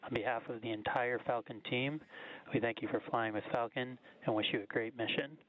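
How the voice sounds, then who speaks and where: A man's voice: medium pitch, fairly even intonation, fairly quick. A man, a launch chief engineer, giving a formal sign-off over radio. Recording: tinny radio countdown loop.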